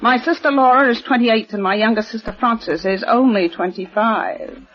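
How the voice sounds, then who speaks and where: A woman's voice: on the high side, normal speed, natural voice. A proper, insistent heiress in her forties, played by a woman, speaks in formal, clipped 1940s radio style. The sound is dull and lo-fi, like an old broadcast. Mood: tense. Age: 40s. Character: tense, proper wealthy eldest daughter.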